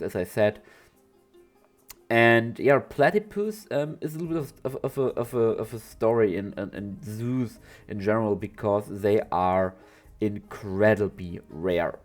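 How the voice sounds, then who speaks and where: Low-voiced young man with a cold. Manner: chatty and rambling, many restarts. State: has a cold, voice slightly off. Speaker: zoo-building game creator. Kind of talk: casual game commentary voice-over.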